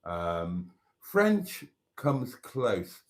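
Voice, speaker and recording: A man's voice: fairly low pitch, wildly swooping pitch, extremely slow. A Welsh man, a native Welsh speaker, hosting a live stream and musing in a meandering way, with many fillers and restarts. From Wales. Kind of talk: casual live stream chat.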